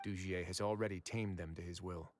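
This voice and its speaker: An actor playing a composed male fortress warden in a video game, speaking in a calm, measured, authoritative way. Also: deep voice, a little hiss or hum, natural voice. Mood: deliberate. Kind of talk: game cutscene voice acting. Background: faint game music.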